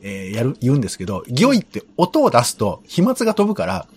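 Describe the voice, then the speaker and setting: A man's voice: mid-range voice, huge pitch swings, fast talker, a little hiss or hum. A man explaining things in casual conversation as a co-host of a talk show.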